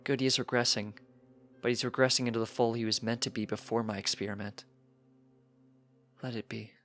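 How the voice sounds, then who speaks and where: Man playing a soft-spoken father pony. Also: medium pitch, fairly even intonation, fairly quick, faint music behind, natural voice. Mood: quiet resignation. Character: gentle, resigned father pony, calm and loving.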